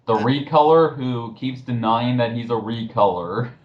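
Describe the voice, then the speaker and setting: A man with a medium-pitched voice and an unhurried pace, heard through dull, lo-fi voice-chat audio. He makes snide, blunt remarks as a casual co-commentator.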